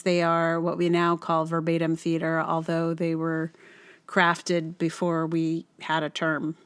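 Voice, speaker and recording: Woman podcaster with a lowish voice, thinking aloud. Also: a bit bright, smooth. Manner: rambling, thinking aloud in unfinished phrases. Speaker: podcast host. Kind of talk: casual solo podcast monologue.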